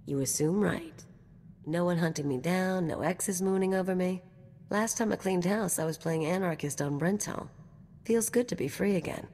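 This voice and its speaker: A brash mercenary woman acted by a woman from a video game, with a low-pitched voice. She swaggers and teases in slangy, casual talk. The sound rings with hall reverb over background noise. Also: moderate pace, warm tone, natural voice. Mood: breezy, boastful. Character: brash, cocky female anarchist mercenary companion.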